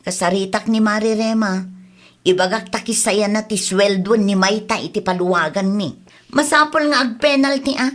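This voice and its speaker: A woman's voice: mid-range voice, normal speed, natural voice. A woman with an Ilocano accent playing a nagging, aggrieved costume renter in a comedy audio drama. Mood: complaining. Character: aggrieved costume-rental woman, exasperated daughter, insistent.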